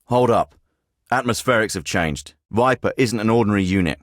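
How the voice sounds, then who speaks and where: An actor playing a terse, profane special forces team leader in a video game. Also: male voice, lowish voice, moderate pace, dry recording, close to an everyday voice. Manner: terse, profane and matter-of-fact. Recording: dry studio recording.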